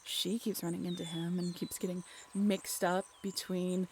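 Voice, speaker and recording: Audio drama role: chatty, excitable young woman played by a woman, a touch low, recounting a tale to a companion in casual, conversational style. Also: normal speed, slight background noise, natural voice. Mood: engrossed, chatty. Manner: informal, giggly, conversational asides with rhetorical questions. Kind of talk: casual, animated storytelling in an audio roleplay.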